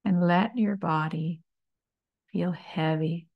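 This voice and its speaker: A woman meditation teacher guiding a body scan, speaking calmly and soothingly in short phrases with long pauses. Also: fairly low pitch, gravelly, no room sound, lo-fi sound. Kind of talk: slow guided meditation, body scan narration.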